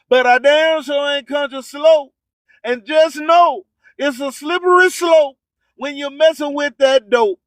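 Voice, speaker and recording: A man's voice: extremely high pitch, a bit bright, dry recording. A man hosting a radio show, delivering an emphatic, preachy motivational monologue full of streetwise slang and repeated rhyming slogans. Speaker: radio host.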